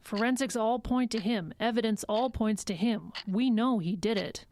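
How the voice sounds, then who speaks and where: An actress playing a measured, firm and slightly condescending psychologist in an audio drama. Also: female voice, on the high side, a little mellow, a little hiss or hum, natural voice. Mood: earnest, firm.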